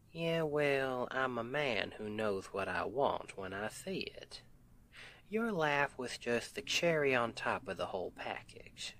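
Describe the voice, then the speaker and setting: Slow-drawling Southern husband, played by a man. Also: medium pitch, fairly bright tone. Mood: playful, tender. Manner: unhurried drawl, folksy, affectionate, teasing. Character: sweet, weary Southern husband, a hardworking roofer. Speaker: ASMR roleplay voice actor. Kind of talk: intimate ASMR roleplay, one side of a conversation.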